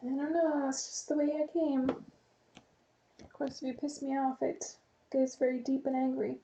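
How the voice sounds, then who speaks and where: High, gravelly, flat-toned woman streamer. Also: measured pace, slight background noise, poor audio. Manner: relaxed, rambling, chatty with light laughs. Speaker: live streamer. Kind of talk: casual chat to viewers, reading chat aloud.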